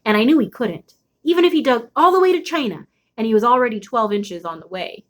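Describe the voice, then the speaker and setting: A woman reading a children's chapter book aloud expressively, with dramatic pauses and drawn-out emphasis. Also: fairly high pitch, expressive. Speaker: storybook read-aloud host.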